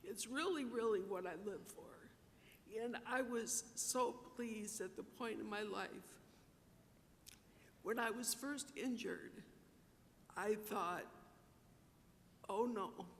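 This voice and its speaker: A woman giving an earnest, reflective award acceptance speech at a banquet, in what she calls her low voice. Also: slow, gravelly, long pauses. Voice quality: self-described low voice. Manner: reflective, earnest, with repeated words for emphasis. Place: large banquet hall, in front of a dinner audience. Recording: reverberant hall, distant mic, faint rumble.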